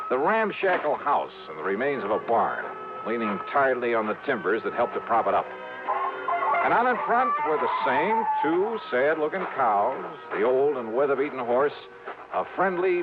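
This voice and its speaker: An actor playing a tough, wry insurance investigator in a radio noir drama, brisk and hard-boiled, with an American accent. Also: male voice, medium pitch, natural voice. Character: wry, tough freelance insurance investigator narrating his case. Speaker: lead detective and narrator. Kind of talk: 1950s radio noir acting. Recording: tinny, noisy old radio recording.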